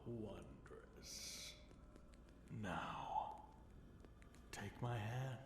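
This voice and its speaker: A man performs a fallen dragon king in an ASMR fantasy roleplay, seductive and menacing. He speaks very slowly, drawing out his words and dipping into whispers, with a teasing, possessive air of command. The voice rings in a roomy echo over faint noise. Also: lowish voice. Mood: coaxing, seductive.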